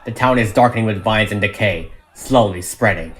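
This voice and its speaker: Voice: medium pitch, normal speed. A narrator reading a script and its stage directions in a dramatic storytelling style. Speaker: narrator reading all parts of a fan audio drama. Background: background music.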